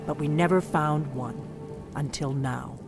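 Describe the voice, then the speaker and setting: A woman voicing a secretive, middle-aged veteran of an old order of guards, speaking in a blunt, conspiratorial video game dialogue. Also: measured pace. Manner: blunt, conspiratorial, low intense delivery. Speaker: game character. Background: game music.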